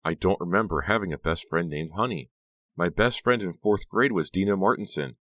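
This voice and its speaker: A man's voice: low voice, brisk, a bit bright, dry recording, clean studio sound, pitched above the performer's own voice. A man voicing an uneasy, bewildered teenage girl in an audiobook, politely hiding her doubts. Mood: puzzled, uneasy. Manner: hesitant replies and anxious questioning.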